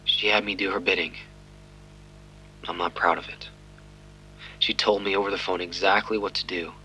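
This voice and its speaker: An actor playing a guilt-ridden police detective giving a grave, terse confession in a crime audio drama. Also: male voice, low voice, flat delivery, moderate pace, pushed and bright, slight background noise, rough recording. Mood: ashamed confession. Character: guilt-ridden, weary but determined male police detective.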